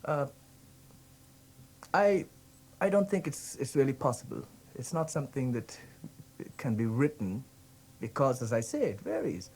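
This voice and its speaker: A man from Guyana with a Guyanese accent, thoughtfully giving a spoken account, hesitant and pausing. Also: medium pitch, very animated, a little mellow, husky. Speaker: interviewee.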